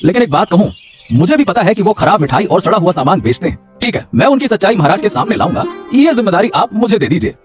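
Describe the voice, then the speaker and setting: A man voicing a scheming, cheerful village husband in an animated Hindi moral story, exaggerated and repeating his words. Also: high voice, fast, soft background music. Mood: sly, curious.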